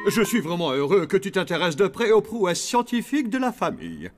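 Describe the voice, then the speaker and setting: A woman's voice: on the low side, very animated, a little fast, soft background music. A woman voicing a proud, delighted zombie parent in a French cartoon, with an effusive, formal manner. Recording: clear background noise.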